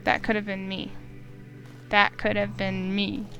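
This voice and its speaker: A woman reading a visual novel aloud in first person, steady and expressive, with small slips. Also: medium pitch, unhurried, highs cut off, faint music behind. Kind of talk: first-person story narration.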